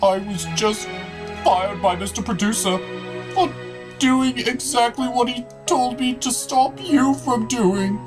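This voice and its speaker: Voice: medium pitch, slow, music in the background, lightly acted. A performer voicing a melodramatic, dutiful male butler puppet in a comedy skit, theatrical, servile and emotional. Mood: despairing.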